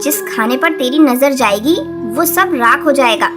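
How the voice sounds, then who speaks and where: A woman's voice: high voice, moderate pace, lightly acted. A woman voicing a harsh, scornful mother bird in a cartoon story, scolding with contempt. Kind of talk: dubbed cartoon story acting. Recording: music behind the voice.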